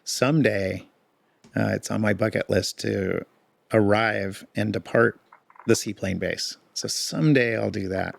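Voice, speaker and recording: A man co-hosting a podcast in casual chat, a bit hoarse, talking in a relaxed, rambling way with fillers. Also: on the low side, measured pace. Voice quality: gravelly. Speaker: podcast co-host.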